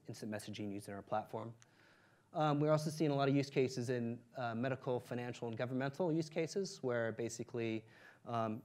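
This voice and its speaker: Brief: male presenter, mid-pitch, energetic tech pitch, filler-heavy delivery. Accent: non-Ukrainian English. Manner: energetic, many fillers, lifts pitch on key points. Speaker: startup presenter.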